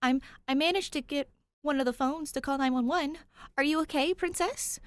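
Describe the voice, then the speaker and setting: An excitable young male ghost from an audio story, played by a woman, pitched well up and full of worry. He speaks urgently and protectively, exclaiming as he goes. The sound is close and dry. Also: moderate pace, no room sound, lightly acted. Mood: worried.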